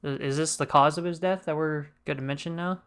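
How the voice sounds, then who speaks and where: A man's voice: fairly high pitch, fairly even intonation, a little fast, fairly bright tone, clear, resonant tone. A man giving casual, slangy, joking gameplay commentary as a streamer. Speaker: game streamer.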